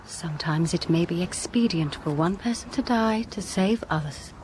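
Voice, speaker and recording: An actress playing a cool, independent young doctor in a radio drama, direct and matter-of-fact, with noisy ambience behind. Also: female voice, fairly low pitch, moderate pace, a little mellow, lightly acted. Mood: cool, detached.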